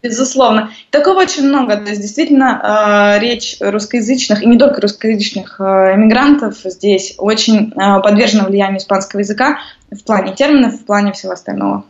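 A woman's voice: medium pitch, brisk. A woman in her thirties, a guest explaining things in casual conversation, with many hesitations. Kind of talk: conversational interview. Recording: lo-fi muffled audio.

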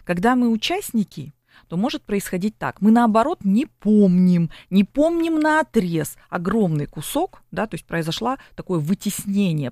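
A woman with a gravelly, fry-edged voice explains her subject patiently, like a lecturer, often tagging her sentences with a quick question to her listener. She speaks as an expert guest in a broadcast interview, and the recording is dry and close with little room sound. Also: mid-range voice, darkish tone, no echo. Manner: lecturing, with frequent tag questions. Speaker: psychologist guest.

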